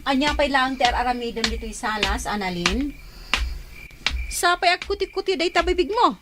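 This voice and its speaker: A woman's voice: high-pitched, expressive, normal speed, over loud music. A woman acting a teasing, nagging older sister in a radio drama. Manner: teasing, scolding.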